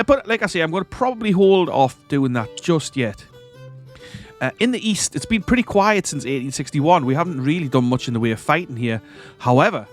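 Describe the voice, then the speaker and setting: A man with a stuffy nose from a cold, giving casual commentary over a strategy game. He rambles, corrects himself and chuckles often. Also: a little high. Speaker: strategy game let's-play host.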